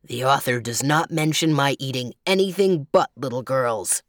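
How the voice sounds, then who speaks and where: A woman voicing a cruel, menacing grizzly bear in a storybook, with a deep, growly voice. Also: normal speed, no room sound, clean studio sound. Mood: matter-of-fact menace. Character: menacing, cruel grizzly bear, matter-of-fact about appetite.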